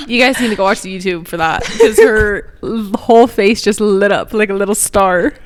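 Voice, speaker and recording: Lively young woman in casual chat. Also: mid-range voice, moderate pace. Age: young adult. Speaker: podcast co-host. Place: living room, indoors. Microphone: handheld microphone held close.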